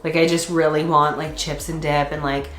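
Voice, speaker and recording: A woman vlogger with a congested, stuffed-up voice, chatting casually to her camera and laughing often. Her voice sits on the low side for a woman. The sound bounces around an echoey room. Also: little pitch movement, moderate pace, fairly bright tone. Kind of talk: casual chat.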